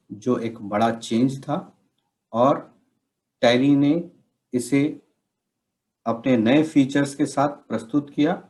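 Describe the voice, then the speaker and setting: A man giving a scripted instructional presentation as a session presenter, explaining things in measured, separate phrases. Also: medium pitch, darkish tone. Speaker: presenter of a software training session.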